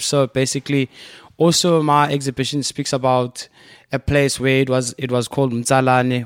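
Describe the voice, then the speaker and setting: Clear, even-toned South African man. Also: medium pitch, no room sound, studio quality. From Cape Town, South Africa. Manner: halting, repeats words and starts over. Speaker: artist, podcast guest. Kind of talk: casual interview answers. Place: gallery space.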